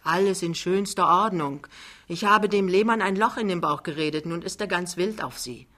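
Blustering big-man hustler played by a female reader: jovial, chummy, colloquial, fast-talking audiobook character. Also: lowish voice, fairly bright tone. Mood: breezy, boastful. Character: big, boisterous, fast-talking male wheeler-dealer, jovial. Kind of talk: dramatized literary reading.

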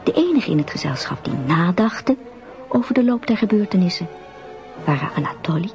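A woman's voice: on the low side, expressive, brisk, a little mellow. A woman narrating a fairy-tale radio drama as a scripted storyteller. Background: music.

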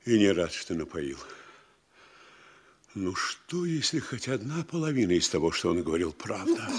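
An actor playing a blustering, anxious middle-aged provincial mayor in a classical comedy, grumbling in drawn-out, emphatic phrases. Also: male voice, low-pitched, husky, exaggerated voice. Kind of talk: Russian classical stage comedy radio acting.

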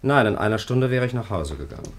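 An actor playing a steadfast, reticent chaplain, speaking in a grave and measured way in a 1950s radio drama. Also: male voice, lowish voice, lively intonation, noisy background. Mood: guarded, firm. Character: male chaplain, steadfast, reticent, quietly stubborn.

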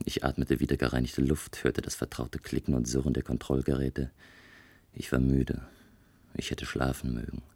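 A man playing a composed, self-assured inventor from a future society in a scripted German science fiction radio play, speaking in a measured, articulate way. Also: extremely low pitch, heavily acted. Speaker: narrator and lead character in a radio play. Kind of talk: scripted German radio drama, first-person narration.

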